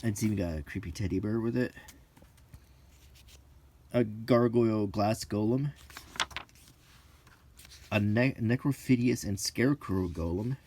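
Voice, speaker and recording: A middle-aged man giving casual, unscripted commentary as he leafs through a book, rambling and halting, musing aloud in short phrases. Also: fairly low pitch, dark tone, a little hiss or hum. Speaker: host of a hobby channel.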